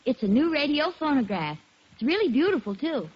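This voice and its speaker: A woman's voice: sits high, very animated, brisk. A woman playing a sensible, patient wife and daughter, reassuring in manner, in a 1940s radio sitcom. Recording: muffled 1940s radio broadcast.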